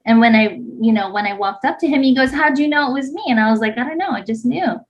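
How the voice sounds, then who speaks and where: A woman's voice: a little high, animated, fast talker. Podcast co-host, woman from Hawaii in her thirties, chatty and rambling, a bit lo-fi. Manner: rambling, heavy use of "like". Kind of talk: casual chat, personal story. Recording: slightly dull lo-fi sound.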